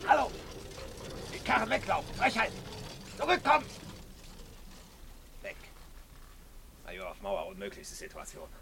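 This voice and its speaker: Radio drama major: blustering, stubborn, telegram-style delivery, big pitch leaps, noisy roomy recording. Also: male voice, wildly swooping pitch, a little slow, long pauses, sharp tone, exaggerated voice. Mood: indignant, agitated. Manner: clipped telegram-like sentences without articles, gruff cursing. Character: blustering, stubborn army major, indignant and curious. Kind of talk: German radio play acting.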